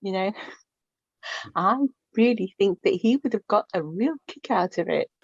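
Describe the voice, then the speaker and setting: A middle-aged woman playing a chatty, wistful widowed mother of the bride in a naturalistic radio play, speaking in a halting, reflective, conversational way. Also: mid-range voice, creaky, husky, no room sound, muffled recording. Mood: wistful, grieving.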